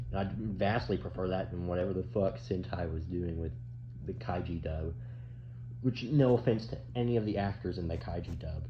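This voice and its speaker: Young male commentator, low-pitched, rambling casual opinions, echoey room with noticeable noise. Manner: rambling, halting, swears casually. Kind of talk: casual off-the-cuff opinion chat. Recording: roomy, dull, with clear background noise.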